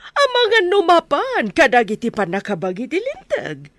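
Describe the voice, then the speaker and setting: A woman's voice: high-pitched, wildly swooping pitch, moderate pace, a bit bright, no echo, full character voice. A woman with a Filipino accent playing an arrogant, scornful wealthy lady in a radio drama. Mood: haughty, scornful.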